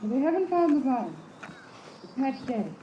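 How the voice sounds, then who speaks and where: A woman's voice: sits high, sing-song, fairly quick. An actress playing a catty, scheming woman, sweetly arch and accusing, in an old-time radio drama. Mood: conspiratorial, cooing. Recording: noisy, muffled, echoey old radio recording.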